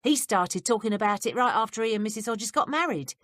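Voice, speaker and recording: A woman's voice: on the high side, expressive, brisk, a bit bright, dry recording, clean studio sound, voice pushed up. A woman voicing a sly, gossipy young male servant with blunt working-class speech. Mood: confiding.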